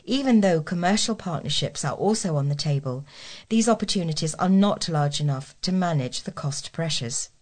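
A woman's voice: sits low, animated, normal speed, darkish tone. A woman reading local news aloud as a volunteer newsreader, formally and with care.

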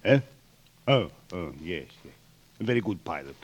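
Hesitant, courteous Spanish prison governor. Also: male voice, sits low, very animated, moderate pace. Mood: genial. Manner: hesitant, bumbling, polite. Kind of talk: 1940s radio acting. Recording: faintly noisy old radio recording.

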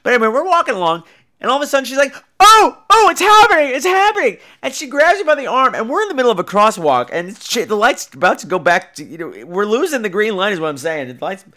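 A man with an American accent and a high, bright voice tells comic anecdotes as a podcast host. His delivery is theatrical and campy, swinging widely in pitch and peppered with swearing. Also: very animated, quick pace. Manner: theatrical, campy, profane, acts out dialogue. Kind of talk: comic personal storytelling.

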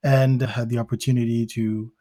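A man's voice: medium pitch, flat delivery, normal speed, mellow, no room sound, studio quality. A young man from Belgium, a podcast guest telling his life story in a thoughtful way, often restarting phrases as he searches for words. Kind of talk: conversational interview storytelling.

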